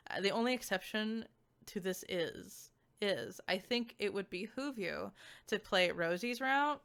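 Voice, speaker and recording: A woman's voice: mid-range voice, lively intonation, a bit bright, clean tone. Female reviewer-streamer, mid-range voice, informal and conversational, stumbling through filler-heavy, unscripted-sounding commentary. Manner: informal, halting, filler-heavy speech with frequent "like". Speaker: video game reviewer and streamer. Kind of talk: casual, chatty spoken review with slides.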